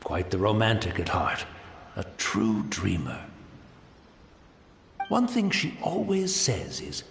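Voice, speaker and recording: A serene blind old tea master. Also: male voice, on the low side, wildly swooping pitch, slow pace, gravelly, echoey room, a little hiss or hum, heavily acted. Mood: reflective. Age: older. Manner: gentle, formal, reflective. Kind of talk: video game voice acting.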